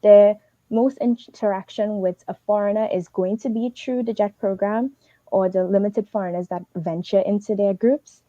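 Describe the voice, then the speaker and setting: A young woman from Trinidad and Tobago, giving fast, earnest advice as a teaching assistant on a livestream. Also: mid-range voice, steady tone, dark tone, no room sound, lo-fi sound. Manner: fast, earnest, advising directly.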